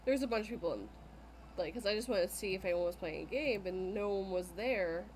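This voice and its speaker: A woman's voice: mid-range voice, animated, fast talker, darkish tone, slight background noise. A woman guesting on a casual podcast chat, laughing often as she talks. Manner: laughs often while talking.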